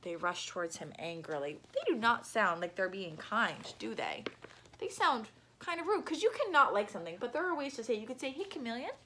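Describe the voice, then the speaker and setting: A young adult woman reading a picture book aloud to children, animated and explanatory, with asides. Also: medium pitch, brisk, slight background noise. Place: small room at home.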